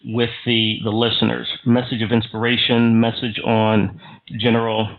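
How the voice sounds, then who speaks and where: A man hosting a radio interview, asking formal questions in halting, deliberate phrases over a narrow phone-like line. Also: medium pitch, completely flat delivery.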